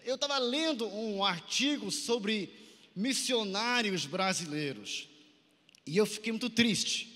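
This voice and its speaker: A man's voice: high voice, animated, slow pace, bright, forceful tone. A Brazilian man preaching a sermon at a youth conference in an exhorting manner, in an echoey large hall. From Santarém, Pará, northern Brazil. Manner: exhorting, asks listeners to repeat phrases and respond.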